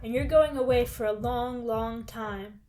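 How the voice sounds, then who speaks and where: A woman's voice: on the high side, unhurried, close to an everyday voice. A woman playing a brash, cocky undercover policewoman in a comic radio play. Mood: triumphant. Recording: very loud music behind the voice.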